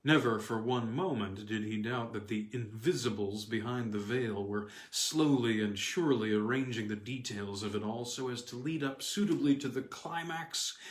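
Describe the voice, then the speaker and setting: Man narrating in an echoey room. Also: mid-range voice. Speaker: audiobook reader. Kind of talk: scripted narration of a weird tale. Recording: roomy, echoing sound.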